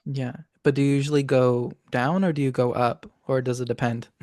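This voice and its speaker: A man's voice: medium pitch, flat delivery, fairly quick, warm tone, no room sound, clean studio sound. A young man hosting an interview, asking short, direct questions about singing in a casual chat. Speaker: interview host and student singer.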